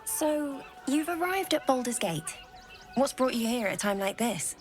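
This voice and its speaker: A woman playing a composed, probing journalist in a fantasy video game, putting pointed questions in a measured manner. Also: sits high, animated, faint music behind. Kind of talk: video game dialogue acting.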